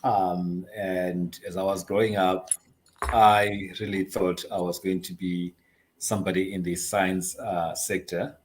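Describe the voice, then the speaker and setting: A man's voice: low voice, little pitch movement, a little slow, low-quality recording. A middle-aged man from Zimbabwe, a company chief being interviewed, telling his story in a hesitant, chatty way with frequent ums and light laughs. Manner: hesitant, many ums and uhs, laughs easily. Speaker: guest, investment advisory CEO.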